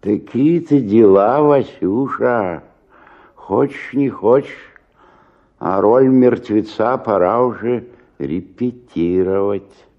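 A man's voice: on the low side, takes it slowly, highs cut off. An older man acting a weary, hungover sixty-eight-year-old stage actor in a radio drama, groaning and muttering in self-pity. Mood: weary, resigned. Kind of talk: theatrical radio drama monologue.

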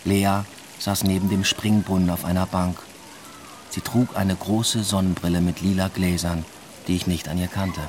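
An actor playing a reflective, noncommittal young German student in a radio drama, with an understated, reserved delivery. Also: male voice, low voice, flat delivery, moderate pace, a little mellow, a little hiss or hum, natural voice. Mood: reflective narration. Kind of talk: radio drama, first-person literary narration and dialogue.

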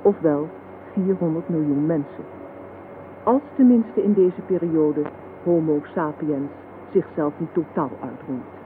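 An actress playing a grave, measured female narrator in a scripted radio satire about war. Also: low voice. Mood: grave, factual. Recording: tinny old radio recording, faint hiss.

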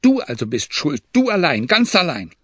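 A man voicing a proud, furious young Bedouin sheikh who shouts commands and accusations in an audiobook reading. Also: on the high side, wildly swooping pitch, moderate pace, no echo, voice pushed up, heavily acted.

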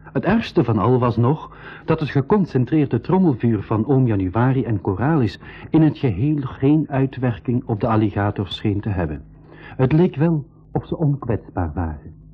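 A man with a Flemish accent playing a lively, cheeky young boy who acts and narrates in a radio play. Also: medium pitch, slightly rough. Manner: animated, exclamatory. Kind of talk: radio play acting and storytelling. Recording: muffled 1980s school radio recording.

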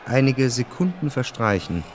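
A man narrating a radio play, reading scripted literary prose in a measured, dramatic way. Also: mid-range voice, big pitch swings, slight background noise. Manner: measured, literary, present-tense storytelling.

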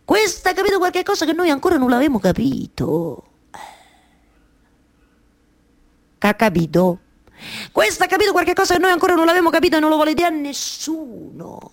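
An actress playing a weary, talkative weaver wife in a theatrical monologue, speaking colloquially with a Roman accent and repeating phrases haltingly. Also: female voice, high-pitched, lively intonation. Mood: agitated, mocking. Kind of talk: theatrical monologue for radio theatre with live music.

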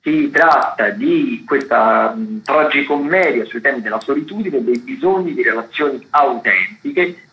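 A man's voice: medium pitch, animated, unhurried. A man giving a casual, digressive radio rundown of theatre listings as a critic, sometimes hesitating and correcting himself. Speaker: theatre critic and guest contributor. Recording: roomy, slightly dull radio sound.

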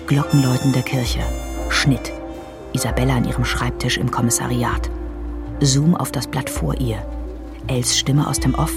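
An actress in a radio drama playing a matter-of-fact reader of film script directions. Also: female voice, extremely low pitch, steady tone, normal speed, gravelly, pauses often. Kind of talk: German radio drama, reading scene directions and dialogue. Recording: prominent music behind the voice.

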